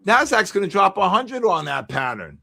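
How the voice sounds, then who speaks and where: Loud wisecracking Bronx man. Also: high-pitched, sing-song, normal speed, gravelly. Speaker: trading show host. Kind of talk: excited live market commentary.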